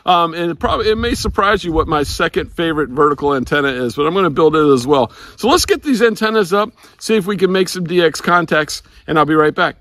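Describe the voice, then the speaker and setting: Older man, higher-pitched voice, casual. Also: animated, quick pace, few pauses, fairly bright tone, no room sound, rough recording. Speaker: ham radio vlogger. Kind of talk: casual explanatory talk to camera. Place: outdoors in a grassy backyard.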